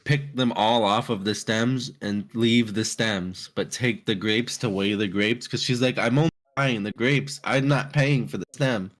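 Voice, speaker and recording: Lower-voiced man chatting casually. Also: fairly even intonation, a little slow, hardly any pauses. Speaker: panelist on a chat show. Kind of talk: casual chat.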